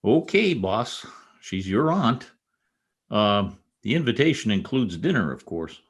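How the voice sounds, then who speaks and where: Actor as wry newspaperman for old-time radio comedy: lowish voice, lively swings, easygoing. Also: a little slow, full character voice. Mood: wry, doubtful. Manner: wry, joking, hesitant asides. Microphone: video call.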